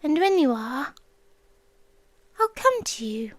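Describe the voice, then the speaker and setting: A British woman playing a possessive, childlike female sea demon in an ASMR roleplay, speaking in a soft, breathy, coaxing voice that often drops to a whisper. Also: sits high, sing-song, slow, no room sound, exaggerated voice. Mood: possessive, soothing. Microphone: close microphone, dry.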